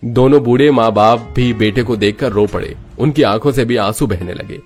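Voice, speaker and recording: A man narrates a scripted dramatic tale. His pitch is middling, and soft music runs beneath the storytelling. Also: normal speed.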